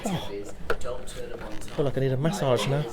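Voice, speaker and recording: With a busy crowd audible behind, a man chats casually as an interview guest. His voice is mid-pitched and his way of talking is playful. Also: unhurried. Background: crowd noise.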